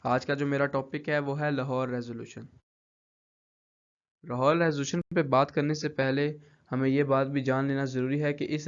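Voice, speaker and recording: A young man with a Pakistani accent presents like a student giving a prepared talk. His delivery is even and monotone, halting over words and repeating them. The voice is smooth and clear, though the recording is dry and somewhat muffled. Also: medium pitch, no room sound. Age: young adult. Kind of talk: student presentation, semi-scripted lecture.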